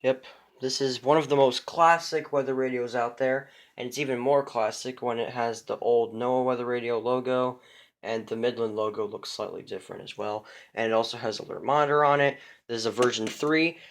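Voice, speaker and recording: A man's voice: mid-range voice, steady tone, brisk, slight background noise. A man talks casually about his radios in a home room, recorded on a phone. He rambles, fills gaps with ums, and restarts sentences as he corrects himself. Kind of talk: casual show-and-tell vlog. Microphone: phone camera.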